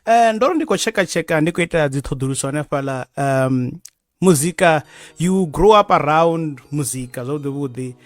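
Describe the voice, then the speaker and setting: A man with a Venda accent hosting a radio show, asking halting questions and mixing English with Venda. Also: on the high side, lively intonation, dry recording. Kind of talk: radio interview. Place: radio studio.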